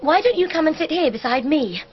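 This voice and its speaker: High-voiced, playful young Englishwoman. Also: big pitch swings, a little fast. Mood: playful, friendly. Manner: light, playful. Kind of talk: radio drama acting. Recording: dull, muffled radio drama recording.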